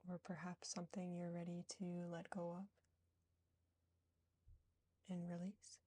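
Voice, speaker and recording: A woman guiding a yoga nidra relaxation in a soothing, gentle, unhurried manner with long pauses. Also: fairly low pitch, completely flat delivery. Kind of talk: guided relaxation meditation.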